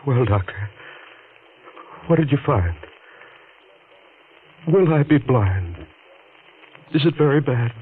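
Pleading, frightened husband on scratchy old radio. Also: male voice, mid-range voice, expressive. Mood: anxious. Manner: halting, repeats words, pleading. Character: frightened, needy injured husband, temporarily blinded, in pain. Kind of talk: 1940s radio drama acting. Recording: scratchy, narrow-band 1940s radio recording.

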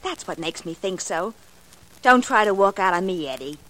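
A woman's voice: mid-range voice, expressive, moderate pace, a bit bright, natural voice, poor audio. A woman playing a young dancer with a tough streak, plain-spoken and insistent, in an old-time radio crime drama. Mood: cold, threatening. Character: insecure young female dancer turned tough, menacing partner.

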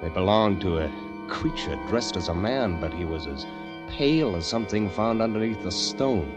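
An actor playing a nervous poet, telling his tale with halting intensity in a 1940s radio drama style. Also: lowish voice, expressive, close to an everyday voice. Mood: uneasy, suspenseful. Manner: hushed, deliberate, halting, intense. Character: nervous poet fleeing the world, haunted narrator. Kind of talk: 1940s radio acting, dramatic first-person narration. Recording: vintage radio drama with music behind. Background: music.

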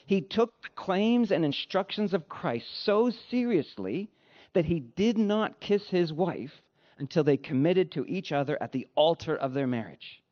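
A man delivers a sermon in a somewhat high, strongly melodic voice. His phrasing is emphatic and measured, shaped for rhetorical effect as a preacher would. The recording sounds a little dull and lo-fi. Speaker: pastor preaching. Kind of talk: sermon with read-out quotations.

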